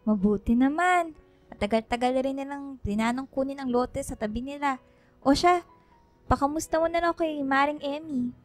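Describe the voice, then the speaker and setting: A woman with a Filipino accent playing a lively, supportive wife and mother in a radio drama, exclaiming eagerly. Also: high-pitched, normal speed, close to an everyday voice. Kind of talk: radio drama acting, animated family talk. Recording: dry voice with music bed.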